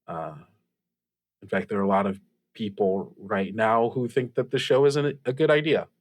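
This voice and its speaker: A man's voice: on the low side, steady tone, dry recording, lightly acted. A man playing an earnest, hesitant host of a late-night radio call-in show. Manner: hesitant, halting, sincere.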